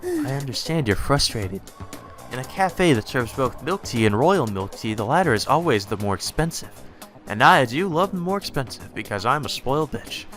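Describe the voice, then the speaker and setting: A man acts out a spoiled, haughty young lady from a visual novel. Her diction is prim and formal with a volatile temper underneath, and the voice is bright and forceful over faint music. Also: mid-range voice, big pitch swings, normal speed. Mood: haughty, lecturing. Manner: prim formal diction that snaps into foul-mouthed commands.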